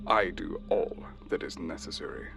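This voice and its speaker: Smug, lowish-voiced ruthless military officer acted by a man. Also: vocal fry, music in the background. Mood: coldly courteous. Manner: deliberate, sardonic, formal. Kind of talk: science fiction audio drama acting.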